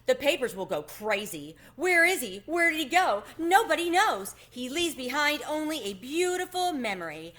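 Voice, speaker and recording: A woman voicing an excitable, pushy male mouse in a storybook, with a theatrical, exclaiming delivery. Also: high voice, normal speed, few pauses, pitched above the performer's own voice. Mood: grandly excited.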